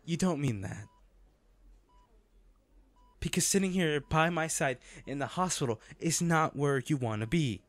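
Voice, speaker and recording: A man playing a devoted, teasing and self-blaming boyfriend in a romantic audio roleplay, speaking haltingly and tenderly. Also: on the high side, lively intonation, no echo. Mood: frustrated, upset. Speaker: voice actor.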